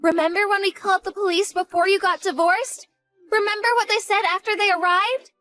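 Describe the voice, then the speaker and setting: A woman's voice: very high voice, fairly even intonation, fairly quick, sharp tone, no room sound, soft background music. A woman voicing a devoted college-age daughter who talks earnestly and grows panicked in a dramatized story. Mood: bitter.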